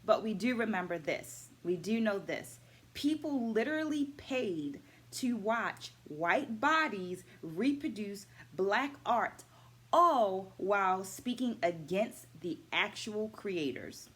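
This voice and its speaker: A middle-aged woman, a dance educator, talking through her takeaways from a book in a measured vlog, stacking her points in lists and asking viewers to comment. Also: medium pitch, expressive, fairly bright tone, slightly rough. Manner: asks viewers questions and invites comments.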